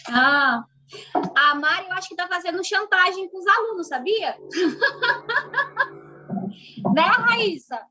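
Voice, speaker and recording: High, bright-voiced woman co-host. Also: slow pace, long pauses, lo-fi sound, soft background music. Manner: lively, teasing. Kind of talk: casual chat. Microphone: phone on a live stream.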